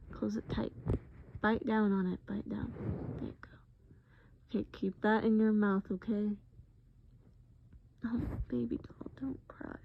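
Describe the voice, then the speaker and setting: A woman playing a gentle, patient boyfriend in acted-out roleplay, coaxing with pet names and simple, repeated reassurances. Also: a little high, creaky, dull audio, slight background noise. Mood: gentle, soothing. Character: gentle, patient boyfriend soothing a groggy partner.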